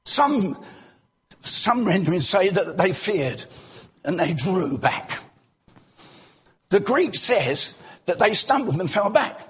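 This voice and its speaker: A male preacher delivering a sermon in a church, his voice unusually high and very husky. He swings widely in pitch, rising into loud exclamations and dropping low for rhetorical questions to the congregation.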